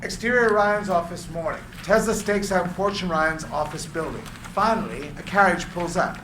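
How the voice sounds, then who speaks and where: A man's voice: sits high, moderate pace. A man reading stage directions as scripted narration for a screenplay. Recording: echoey room with clear background noise.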